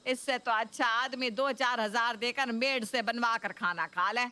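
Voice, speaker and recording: A woman's voice: a little high, measured pace, sharp tone, clear, resonant tone. A woman voicing a nagging, demanding older mother-in-law in a Hindi cartoon story, complaining and taunting. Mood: sulky, taunting.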